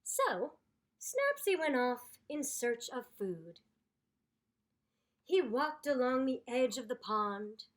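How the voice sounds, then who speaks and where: A woman reading aloud to children in an expressive storytelling style, with deliberate, dramatic emphasis. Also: sits high. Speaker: storybook reader.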